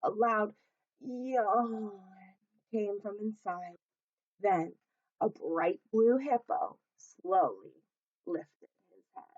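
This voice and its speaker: A woman narrating a children's storybook aloud as a teacher would, in a measured, expressive storytelling manner. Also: medium pitch, no room sound, muffled recording.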